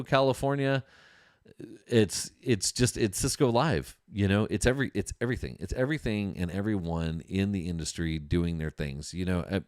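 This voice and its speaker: Male podcast host, low-pitched, dry close sound, conversational and enthusiastic delivery with repetition for emphasis. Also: no room sound. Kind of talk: casual industry news talk to an audience.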